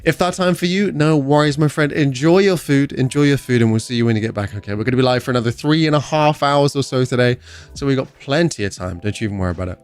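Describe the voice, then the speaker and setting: A man's voice: medium pitch, quick pace, clean tone, soft background music. A young man from England with an English accent, hosting a gaming livestream and chatting casually with viewers as he reads their messages. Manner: chatty, reads chat aloud and answers viewers. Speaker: livestream host.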